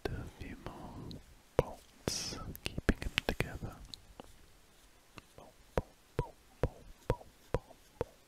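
A man with a British English accent whispers breathily in an ASMR style. He rambles casually and gently, musing in a hesitant way. Pen scratches on paper sit behind the voice. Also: slow pace, long pauses. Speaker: ASMR artist. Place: small warm room at a desk, window shut.

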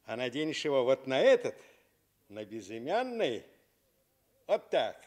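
An actor playing a kindly, teasing old soldier in a radio fairy tale, coaxing with deliberate phrasing. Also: male voice, medium pitch, very animated, darkish tone.

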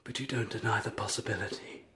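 An actor playing an unwell, estranged husband in a period radio drama, earnest and formally spoken. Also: male voice, lowish voice, steady tone, fast talker, fairly bright tone, close to an everyday voice. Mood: pleading. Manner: earnest, formal old-fashioned phrasing. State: unwell with a chill and congested chest. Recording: noisy track with hiss and clicks.

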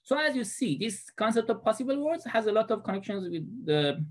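A man's voice: high-pitched, sing-song, a little fast. A man in his thirties with a Persian accent, giving a recorded class presentation from a small room into a webcam, with many fillers and restarts. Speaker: student presenter.

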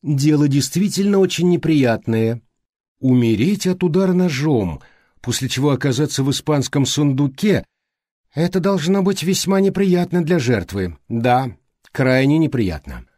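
A man acts out an older male detective from an audiobook. The voice is mellow and very lively in pitch. He is inquisitive and insistent, reasoning aloud with emphatic rhetorical questions. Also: normal speed, dry recording, clean studio sound. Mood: intrigued. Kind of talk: audiobook character dialogue.

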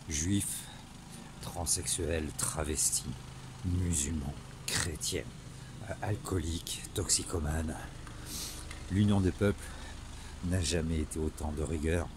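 A man, speaking an earnest, improvised monologue to camera in a halting way with searching pauses. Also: low-pitched. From Marseille, southern France. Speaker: vlogger. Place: outdoors in a town street at night, later inside a car. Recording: noisy phone selfie audio, ambient noise.

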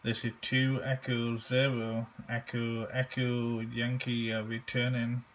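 Slow-talking man on ham radio. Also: mid-range voice, completely flat delivery, slight background noise, low-quality recording. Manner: halting, deliberate, repeats key phrases. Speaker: amateur radio operator. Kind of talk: ham radio contact, phonetic spelling.

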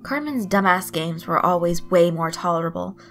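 A woman reading fan fiction aloud in an expressive storytelling style. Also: fairly low pitch, moderate pace. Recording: soft music behind the voice. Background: guitar loop, night insect sounds.

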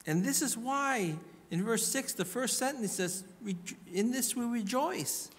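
A middle-aged man preaching a sermon as a pastor, in earnest, emphatic short phrases with pauses. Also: very high-pitched, lively intonation, husky. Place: church hall pulpit. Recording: reverberant hall through PA.

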